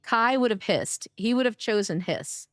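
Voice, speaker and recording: A woman livestreaming a game, chatting playfully with her viewers, her voice a bit hoarse from days of streaming. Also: fairly high pitch, expressive, normal speed, no echo. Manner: playful, chatty, greeting chat by name. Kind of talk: casual game-stream chat.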